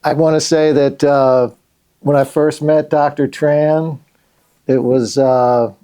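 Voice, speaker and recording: A middle-aged man with an American accent hosting a podcast conversationally, somewhat halting and repeating words. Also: a little high, fairly even intonation, warm tone, clean tone. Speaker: podcast co-host, company president.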